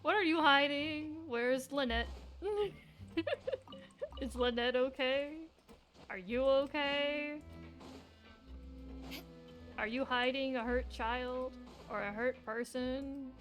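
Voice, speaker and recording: A woman giving casual, expressive live commentary as a game streamer, often reacting and muttering to herself. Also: high-pitched, takes it slowly, pauses often, faint music behind.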